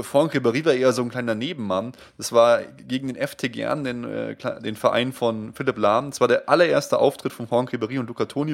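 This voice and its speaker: A man's voice: medium pitch, creaky. A young man from Munich with a light Bavarian accent, co-hosting a casual football podcast, talking with enthusiasm and many restarts. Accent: light Bavarian German. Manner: enthusiastic, chatty, frequent restarts and fillers. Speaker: podcast co-host.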